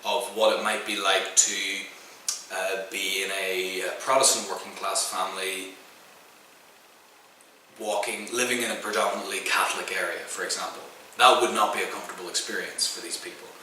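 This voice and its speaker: A man, a fairly low voice and a very bright, sharp tone. He speaks in a measured, reflective way. He is recorded from a distance in a very echoey room. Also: little pitch movement, slight background noise. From Northern Ireland. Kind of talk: documentary interview.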